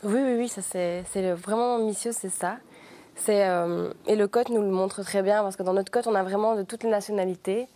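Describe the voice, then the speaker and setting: Young woman, Belgian French accent, eager, mellow tone, interview guest. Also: mid-range voice, steady tone, normal speed. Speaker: student group member, guest.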